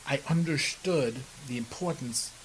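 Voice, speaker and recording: A man's voice: medium pitch, unhurried, low-quality recording. Middle aged guy from the bahamas being interviewed, emphatic, keeps checking in, bit of hiss behind him. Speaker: podcast guest, personal development speaker. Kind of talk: conversational interview answers. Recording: steady background hiss.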